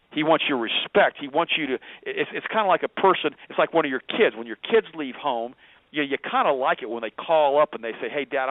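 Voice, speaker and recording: Male radio guest in his fifties, German native, rapid rambling delivery, phone-line sound. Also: medium pitch, no room sound. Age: 50s. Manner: rambling, repeats words, earnest. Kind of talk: personal story on a talk radio show. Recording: dry tinny phone line.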